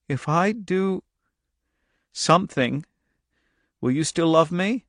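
Guy doing a scared little girl, thin high voice, desperate, audiobook character. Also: expressive, slow, fairly bright tone, no echo, studio quality, exaggerated voice. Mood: fearful pleading. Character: frightened young girl, desperate, pleading with her father.

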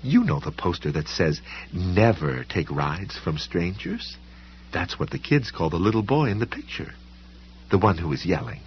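Audiobook role: man playing a smooth, dismissive woman librarian, courteous with menace underneath, swooping intonation, faint hum behind. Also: deep voice, big pitch swings, moderate pace, dull audio. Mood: smoothly dismissive. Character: polite, condescending, quietly menacing woman librarian.

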